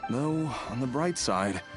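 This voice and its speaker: A man playing a mild, grateful patient in a video game, gentle and hesitant. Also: medium pitch. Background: music.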